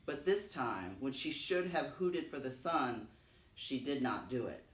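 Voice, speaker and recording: A man reading a children's story aloud in an expressive, dramatic way, repeating phrases for emphasis. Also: mid-range voice, measured pace. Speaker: storytime reader. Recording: roomy, echoing, distant microphone.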